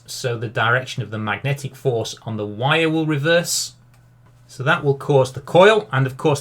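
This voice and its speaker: A man's voice: medium pitch, fairly bright tone. A man narrating a science lesson as a teacher, explaining a demonstration step by step in a didactic way. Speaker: physics teacher.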